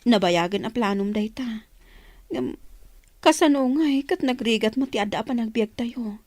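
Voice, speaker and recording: An actress playing an anxious, coaxing wife of a poor labourer in a radio drama. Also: female voice, fairly high pitch, moderate pace, a little mellow, close to an everyday voice. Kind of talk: radio drama acting in dialogue.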